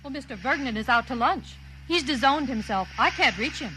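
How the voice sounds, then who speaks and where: An actress playing a formal, unruffled receptionist in a 1950s radio drama. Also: female voice, high voice, lively intonation, brisk, bright, forceful tone. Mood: unruffled, official. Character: unhurried, businesslike female receptionist. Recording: noisy old radio recording.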